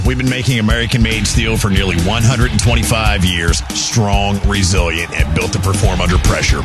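Male announcer, lowish voice, emphatic ad read, loud music behind. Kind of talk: scripted commercial read.

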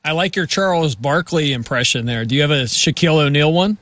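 Fairly high-voiced guy hosting sports talk, upbeat, jokey, dry close sound. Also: a little fast, fairly bright tone, dry recording. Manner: upbeat, jokey, runs the segment. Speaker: sports talk show host.

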